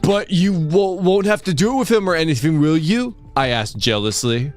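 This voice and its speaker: A man co-hosting a livestream, giving a halting mock-dramatic reading of fan fiction and hamming up the character lines. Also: a little high, animated, faint music behind. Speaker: livestream co-host.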